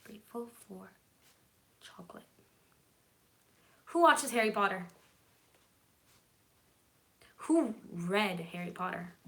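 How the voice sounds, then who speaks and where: A woman's voice: medium pitch, expressive, a little slow, fairly bright tone. A woman from California whose first language is Hebrew, hosting a casual live stream and answering viewer comments in a chatty, reassuring way that often checks in with "okay?". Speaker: livestream host.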